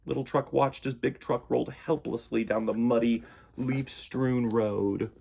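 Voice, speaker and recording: A man's voice: mid-range voice, steady tone, measured pace, creaky, a little mellow, husky. A man reading a picture book aloud to children with dramatic emphasis and stretched words. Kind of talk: animated children's story reading.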